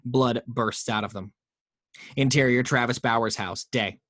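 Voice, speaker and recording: A man reading the action lines of a screenplay aloud, steady and even with small quick stumbles. His voice sits in the middle of a man's range. The sound is dry and close, on a home microphone. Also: medium pitch, dry recording.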